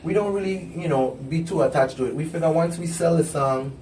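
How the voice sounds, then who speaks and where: A man's voice: fairly high pitch, a little hiss or hum. Caribbean man, roomy distant sound. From U.S. Virgin Islands. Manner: relaxed, conversational, quick bursts with laughs. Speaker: songwriter and performer guest. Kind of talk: casual interview chat. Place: radio studio.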